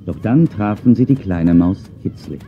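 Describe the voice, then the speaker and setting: A man narrating a children's audio play as a dramatic, animated storyteller. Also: fairly low pitch, moderate pace, a little hiss or hum. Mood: calm storytelling. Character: animated male storyteller of a children's dinosaur tale. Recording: dull cassette-era audio play recording.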